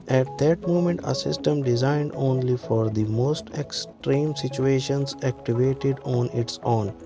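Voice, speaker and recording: Male narrator for scripted aviation-style documentary, mid pitch, clear music bed underneath.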